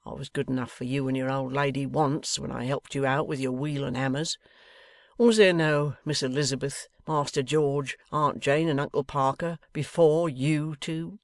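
A man acts out a sly Victorian bully for an audiobook. The rogue sneers and blusters with impudent contempt, in rough colloquial phrases. The recording is dry and close. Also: medium pitch, fairly even intonation, moderate pace, dry recording, lightly acted. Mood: blustering. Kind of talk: dramatised audiobook character voice.

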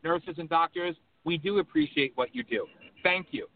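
A man's voice: fairly high pitch, normal speed, gravelly. A man hosting a call-in radio show, talking in an impassioned, rambling, emphatic way. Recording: tinny phone-line audio with faint background hum.

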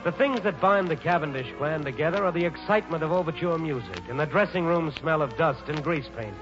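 A man acting as a droll, polished narrator from 1940s radio, speaking in a fairly high male voice. The muffled vintage recording has clear music behind him. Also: lightly acted. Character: wry, urbane male narrator introducing a theatrical family.

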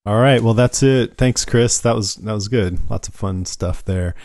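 A man's voice: fairly low pitch, normal speed, darkish tone, no echo, studio quality. A man hosting a podcast in casual chat, laid-back and joking. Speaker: podcast host and panel moderator.

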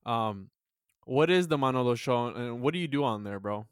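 Earnest young podcast host with smooth voice. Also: male voice, medium pitch, expressive, dry recording, clean studio sound. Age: 20s. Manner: earnest, philosophical, halting with many restarts. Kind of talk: casual podcast chat.

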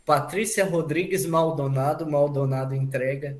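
A man's voice: a little high, steady tone, moderate pace. A man with a Brazilian accent hosting a podcast and casually putting questions to a guest. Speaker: podcast host. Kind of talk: casual interview. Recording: echoey room sound.

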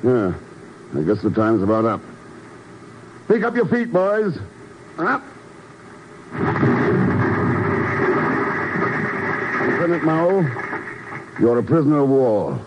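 An actor playing a cool, commanding secret agent in a 1950s radio drama, dry and clipped in manner. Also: male voice, medium pitch, big pitch swings, moderate pace, long pauses, close to an everyday voice. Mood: brisk. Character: cool, commanding middle-aged male secret agent hero. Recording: very noisy, dull old radio recording.

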